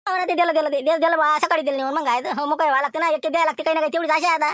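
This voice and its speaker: Woman doing worried village farmer for a cartoon, rural vidarbha marathi, folksy complaining, talks real fast, dry sound. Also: sits high, steady tone, a bit bright, dry recording, voice pushed up. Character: worried Marathi village farmer men chatting about crops. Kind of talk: cartoon village comedy dialogue.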